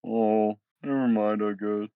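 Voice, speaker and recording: Man doing a slow, laid-back young stoner. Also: fairly low pitch, fairly even intonation, clean tone, no echo, narrow, tinny audio. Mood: vague, mellow. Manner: slow, drawling, vague. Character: laid-back male stoner in his early twenties. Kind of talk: comedy film table read.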